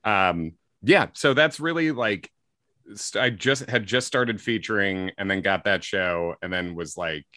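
A man with a slight Chicago accent talks in a bright, forward voice that sits a little low in pitch. He rambles in a casual interview, piling up "likes" and restarts. The sound is dry and close. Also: unhurried, no room sound. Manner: rambling, self-correcting, frequent likes and ums. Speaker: comedian guest.

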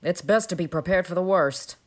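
Woman voicing a low-pitched, sour older spinster. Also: brisk, lightly acted. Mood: sour gloom. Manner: grim, contemptuous, complaining. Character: grim, sour fifty-seven-year-old spinster, a born pessimist. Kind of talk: audiobook character dialogue.

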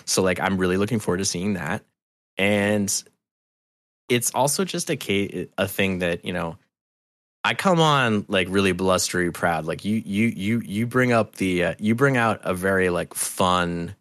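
A man's voice: low voice, measured pace, fairly bright tone, no room sound. A middle-aged man talking casually and candidly as a podcast guest, earnest and rambling, with restarts and loose swearing. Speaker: podcast guest, audio drama creator.

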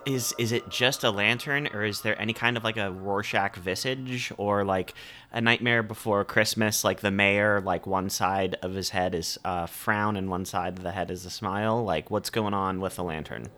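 A man playing a tabletop game, chatting casually in an excitable, rambling way. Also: lowish voice, moderate pace. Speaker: player.

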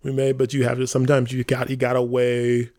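A man with a mid-pitched voice talks very quickly in a casual podcast discussion. He sounds earnest and emphatic as he hosts for his theater company. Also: completely flat delivery, darkish tone.

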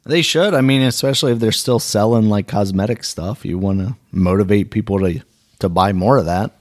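A man's voice: fairly low pitch, fairly quick, no room sound, clean studio sound. A man co-hosting a casual gaming news podcast, joking dryly and laughing often. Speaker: podcast co-host.

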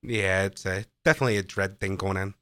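A man's voice: low-pitched, fairly even intonation, fast, sharp tone, no echo. A man co-hosting a casual movie commentary, playful and goofy, tossing out jokes.

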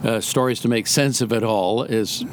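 A man's voice: fairly low pitch, normal speed, slight background noise. A man interviewing a friend in a casual conversation, chatty, often jumping in with short reactions and prompts.

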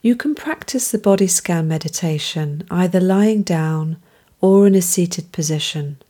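A woman guides a mindfulness meditation in a calm, soothing way. Her voice is soft-edged and mellow, sitting a little low. She speaks very slowly, letting each gentle phrase settle before the next.

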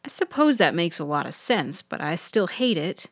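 A woman voicing a bright, wry young woman in a fiction podcast, articulate and emphatic. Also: fairly low pitch, very animated, moderate pace, breathy edge, no room sound, studio quality, exaggerated voice. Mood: wry, determined. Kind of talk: audiobook character voice.

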